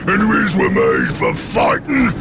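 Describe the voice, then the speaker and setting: An actor playing a huge, brutish orc warboss in a video game cutscene, boastful and aggressive, with a guttural growl and crude barked grammar. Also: male voice, extremely low pitch, wildly swooping pitch, slow, gravelly, full character voice. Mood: rousing, scornful. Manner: crude broken-grammar barking. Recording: loud music behind the voice. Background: loud music.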